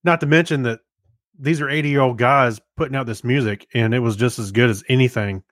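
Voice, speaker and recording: A man's voice: mid-range voice, brisk, a bit bright, no room sound, clean studio sound. A man co-hosting a podcast, giving informal opinions in a rambling, hesitant, conversational way. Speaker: podcast co-host. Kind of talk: casual chat, informal reviews.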